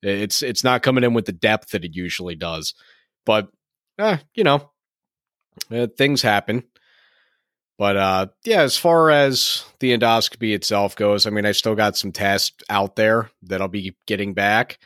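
A younger man talks alone on his podcast, his voice a bit hoarse and raspy and sitting on the low side. He rambles, restarting sentences and filling gaps with ums. The sound is dry and close, with no room around it. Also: unhurried, a bit bright, no echo. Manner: rambling, frequent restarts and fillers. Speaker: podcast host. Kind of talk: solo podcast chat.

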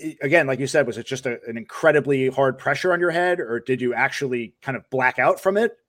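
A man's voice: medium pitch, fast, a bit bright. A man hosting an interview podcast, asking long, winding, curious questions. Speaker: podcast host. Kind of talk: casual interview.

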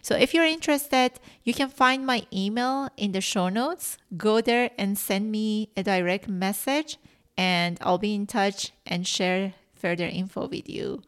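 A woman whose first language is Farsi, hosting a solo coaching podcast in an earnest, measured teaching tone with soft whispered stresses. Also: medium pitch, smooth. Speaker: life coach and podcast host.

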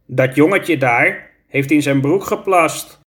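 A man's voice: on the high side, slow pace, fairly bright tone. A man voicing a sensible, earnest schoolboy in a children's story. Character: sensible, observant schoolboy who explains things earnestly.

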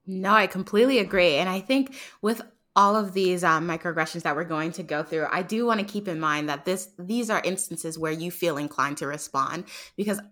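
A young woman talking in a relaxed, thoughtful podcast chat, with lots of "like" fillers. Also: fairly low pitch, a little fast. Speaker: guest.

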